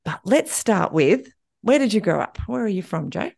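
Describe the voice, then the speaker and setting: A woman's voice: mid-range voice, very animated, moderate pace, vocal fry, darkish tone, no room sound, clean studio sound. An Australian woman hosting a podcast interview, chatty and laughing often. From Tasmania. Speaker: podcast host. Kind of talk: casual interview chat.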